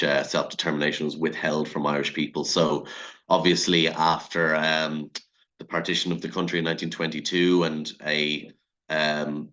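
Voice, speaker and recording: A man giving a measured, formal and articulate academic talk. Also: extremely low pitch, sharp tone, muffled recording. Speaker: academic lecturer.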